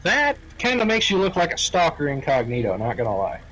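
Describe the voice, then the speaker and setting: High-voiced blunt, sweary young-sounding gamer man. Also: wildly swooping pitch, fairly quick, fairly bright tone, a little hiss or hum, rough recording. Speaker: roleplay gamer. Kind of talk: casual voice chat during game roleplay.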